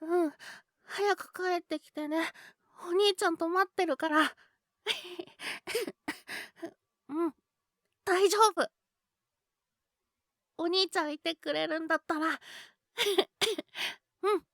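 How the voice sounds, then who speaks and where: Woman voicing a very high-voiced, coughing little boy. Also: slow, long pauses, a bit bright, no echo, studio quality, exaggerated voice. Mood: meek, grateful. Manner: pleading, sulky childish talk with frequent coughing. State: coughing, sick with a cold. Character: cheeky little boy with a cold, clinging to his older brother. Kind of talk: Japanese situation voice drama.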